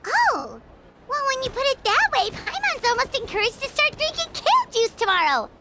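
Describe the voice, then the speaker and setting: A woman voicing a tiny, eager, excitable fairy companion girl in a video game, talking in an exclamatory way. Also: very high-pitched, moderate pace, very noisy, full character voice. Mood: eager, admiring. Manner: refers to self in third person, exclamatory.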